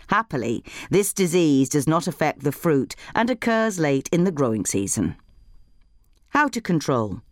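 A woman's voice: low-pitched, no room sound, clean studio sound. A woman reading an informational guide as scripted narration. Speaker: podcast reader of a gardening guide.

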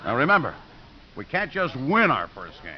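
Brash wisecracking coach, swooping delivery. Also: male voice, medium pitch, huge pitch swings, moderate pace. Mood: brash, scheming. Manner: wisecracking, sarcastic. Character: brash, scheming college football coach and devoted father. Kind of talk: 1950s radio drama acting. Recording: dull, noisy old radio recording.

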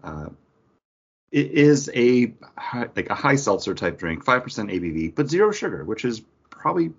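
A man co-hosting a podcast in a casual, chatty style, with a husky voice. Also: mid-range voice, big pitch swings, normal speed. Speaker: podcast co-host. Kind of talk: casual chat. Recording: dull lo-fi audio.

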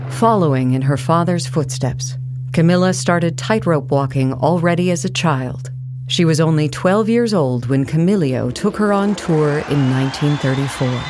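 A woman's voice: deep voice, expressive, moderate pace, background noise. A woman narrating an audio play in a scripted documentary style.

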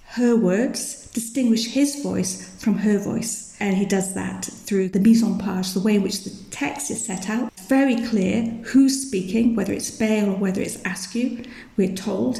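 Woman, historian guest, creaky, dark tone, lecturing, roomy sound. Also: mid-range voice. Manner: fluent, lecturing, emphatic. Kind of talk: academic interview explanation.

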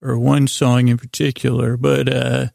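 A man with a creaky voice hosting a bedtime podcast, improvising a halting, meandering, self-deprecating monologue that slips into imagined dialogue. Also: medium pitch, level tone, a little mellow, no room sound, clean studio sound. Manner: acts out imagined back-and-forth dialogue in his own voice. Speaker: podcast host.

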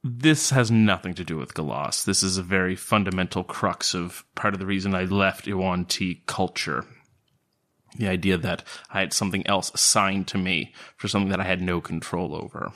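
A man performs a reserved serpent-folk thinker for a tabletop fantasy game. The voice sits fairly low. He words things formally and philosophically, measured and cerebral. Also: fairly bright tone. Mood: lecturing, earnest. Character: stiff, cerebral male serpent-folk outcast, emotionally reserved.

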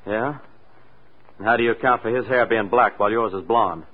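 A man's voice: on the low side, fairly quick, close to an everyday voice. An American man acting a suspicious, insistent, anxious fellow in a 1940s radio drama, with urgent slangy delivery. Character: anxious, suspicious male oil company stooge, blunt and insistent. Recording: muffled, narrow-band old radio recording.

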